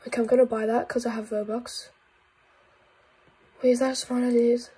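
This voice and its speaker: A woman with a blocked nose and a croaky voice, giving excitable gameplay commentary with frequent whispered asides. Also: on the high side, brisk. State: congested, croaky voice. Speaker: gaming YouTuber.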